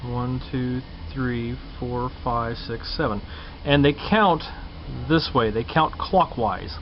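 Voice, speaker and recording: A man gives an informal, folksy show-and-tell at an indoor workbench. His voice sits at a medium pitch and is picked up by a camera mic. The sound is dull and lo-fi, with a noticeable hum behind him. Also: takes it slowly. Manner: plain-spoken, folksy, informal. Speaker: hobbyist repair vlogger. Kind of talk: casual unscripted show-and-tell to viewers. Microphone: handheld camera's built-in mic. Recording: muffled lo-fi camcorder sound, clear hum.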